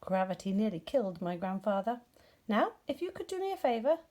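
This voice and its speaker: A woman's voice: medium pitch, very animated, fairly quick, mellow, natural voice. Woman playing a grumpy, pompous storybook rabbit. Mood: haughty. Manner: self-important, lecturing, severe. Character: grumpy, gloomy, pompous know-it-all male rabbit. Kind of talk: children's storybook character.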